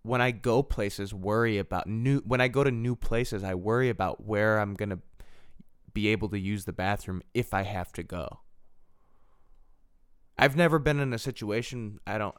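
Low-voiced young man rambling on his podcast. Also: clear, resonant tone, no room sound, clean studio sound. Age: 20s. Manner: rambling, self-deprecating, repeats and restarts phrases. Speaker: podcast host. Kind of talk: casual solo podcast monologue.

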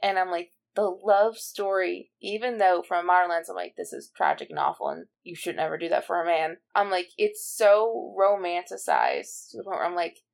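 A young woman co-hosting a podcast in casual chat, her voice raspy and sleepy from a long, tired day. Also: fairly low pitch, sing-song, normal speed, few pauses. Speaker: podcast co-host.

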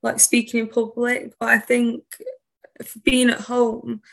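A woman poet being interviewed in a casual chat, shy and soft-spoken, rambling a little as she talks. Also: fairly high pitch, monotone, measured pace, gravelly. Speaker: poet guest.

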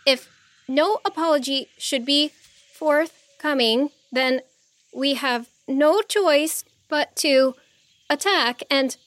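A woman's voice: high-pitched, slow pace, no room sound, voice pushed up. Woman doing a brave young dragonfly princess. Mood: earnest. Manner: formal, earnest, bravely steady.

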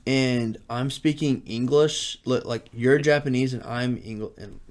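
A man's voice: medium pitch, steady tone, a little slow. A man chatting casually as a podcast co-host, telling stories in a slangy way and acting out remarks. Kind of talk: casual chat.

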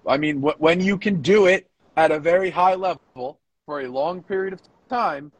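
High-voiced, husky man talking sport. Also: normal speed, no room sound, rough recording. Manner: profane, emphatic, repeats phrases. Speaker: podcast co-host, powerlifting coach. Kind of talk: casual sports talk.

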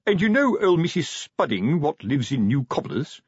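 A man's voice: fairly high pitch, very animated, normal speed, husky, dry recording, lo-fi sound, voice pushed up. A man voicing a pompous, fatherly older police sergeant in an audiobook, hesitant and prudish in his colloquial talk. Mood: earnest, embarrassed. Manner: hesitant, self-important, colloquial working-class phrasing. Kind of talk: audiobook character dialogue.

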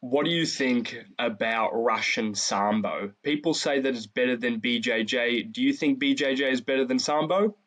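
A man's voice: mid-range voice, normal speed, few pauses, fairly bright tone. A man hosting an interview, asking short, direct questions. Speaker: interview host.

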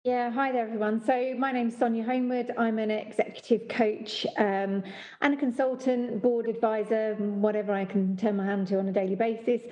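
A woman's voice: a little high, steady tone, moderate pace, a little mellow, dull audio. A woman in her fifties from East London, an executive coach, explaining with enthusiasm as a podcast guest. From East End of London.

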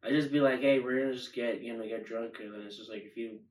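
A man's voice: medium pitch, fairly even intonation, quick pace. A young man in casual banter, swearing freely, repeating himself and acting out imagined conversations. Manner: profane, repetitive, acts out imagined dialogue. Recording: echoey room, far from mic.

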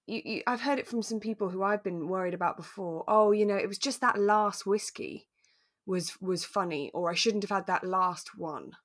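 A woman's voice: mid-range voice, moderate pace. A woman co-hosting a podcast in casual, conversational chat, often acting out little imagined remarks. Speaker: podcast co-host.

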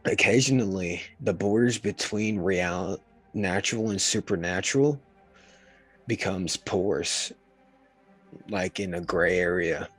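Man, hoarse, husky low voice, casual podcast host, earnest and rambling.

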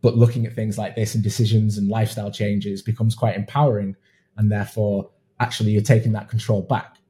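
A man's voice: lowish voice, level tone, a little fast, warm tone. A man hosting an interview, chatty and affirming, often restarting his sentences. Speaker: podcast host. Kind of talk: conversational interview.

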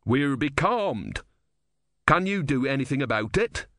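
A man's voice: mid-range voice, big pitch swings, a little slow, fairly bright tone, no echo, clean studio sound, pitched above the performer's own voice. A man voicing an impatient, literal-minded soldierly character in an audiobook, blunt and easily baffled. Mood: worried.

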